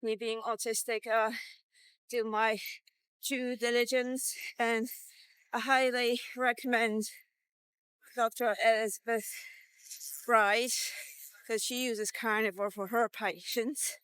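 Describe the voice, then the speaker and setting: A woman in her fifties talking casually in a vlog, halting and reflective, pausing between short phrases. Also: a little high, level tone, a bit bright, clean tone, no echo. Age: 50s. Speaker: vlogger. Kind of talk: casual walk-and-talk diet vlog.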